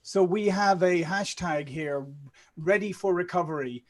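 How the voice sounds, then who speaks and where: High-pitched male event host. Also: unhurried. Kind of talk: live online event hosting. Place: online group call.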